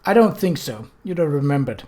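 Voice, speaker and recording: A man voicing a young woman, the earnest daughter of Death, in a storybook reading, talking a little higher and hurried. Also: sing-song, a little mellow.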